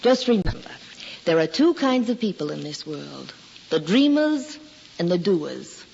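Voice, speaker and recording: An American actress playing an earnest, prim schoolteacher who gently admonishes a pupil in a 1940s radio drama. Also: female voice, fairly low pitch, very animated, a little slow, vocal fry, heavily acted. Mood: earnest, coaxing. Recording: dull, reverberant, noisy old radio recording.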